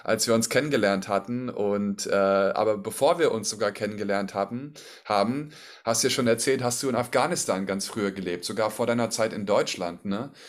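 A man leading a casual interview, asking encouraging questions and often ending his sentences with a tag question. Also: lowish voice.

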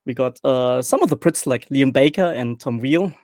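A man's voice: mid-range voice, expressive, normal speed, darkish tone, no echo, clean studio sound. A man from Germany with a German accent, chatting as a podcast guest, joking and laughing often, repeating words as he talks. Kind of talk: casual chat.

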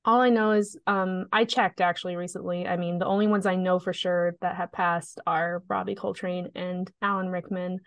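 A woman's voice: fairly low pitch, little pitch movement, normal speed, few pauses, no echo. A woman co-hosting a podcast in casual, informal chat, a bit sniffly. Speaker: podcast co-host.

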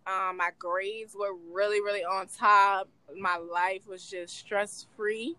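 A woman in her twenties co-hosting a podcast, chatting casually and telling stories in a relaxed, drawn-out way. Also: medium pitch, clear, resonant tone, no echo. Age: 20s. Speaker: podcast co-host. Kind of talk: casual chat.

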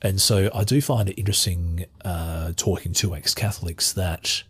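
An Australian man hosting a casual interview, talking in a halting way with many restarts as he searches for words. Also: sits low, fairly bright tone, breathy edge.

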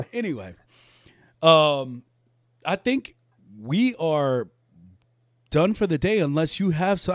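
A man's voice: on the high side, no room sound, clean studio sound. A man hosting a casual live-stream chat, laid-back, laughing often and repeating his words. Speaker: podcast host.

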